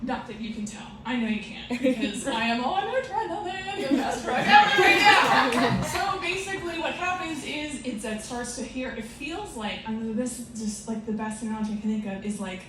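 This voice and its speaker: A woman's voice: high-pitched, lively intonation, normal speed, few pauses, very noisy. A woman whose first language is Romanian tells a comic story on stage, rambling, swearing and laughing at herself, recorded from a distant mic in an echoey live room. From Romanian family. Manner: rambling, swearing, self-mocking, laughing often. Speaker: comedic storyteller. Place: live performance venue with audience.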